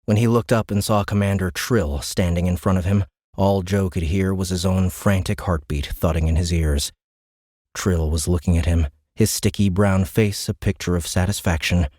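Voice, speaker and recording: A man narrating a science fiction audiobook in a measured, suspenseful storytelling manner, close to a studio microphone. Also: sits low, steady tone, a little mellow, no room sound.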